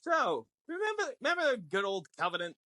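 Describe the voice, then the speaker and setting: A man's voice: very high voice, huge pitch swings, fairly quick, pushed and bright, dry recording. A man guesting on a podcast, explaining film lore casually with theatrical, mock-exasperated banter.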